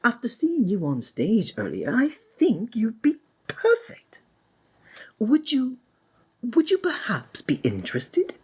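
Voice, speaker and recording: A woman playing a flamboyant, courtly and sinister stage magician in a theatrical ASMR roleplay, with flowery, drawn-out, flattering phrasing. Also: a little high, breathy edge, heavily acted. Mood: excited, effusive.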